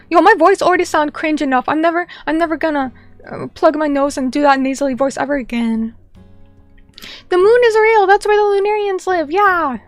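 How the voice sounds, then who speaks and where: A woman's voice: high-pitched, no echo. A young woman streamer chatting casually and reading viewer comments, bubbly and chatty, greeting people by name.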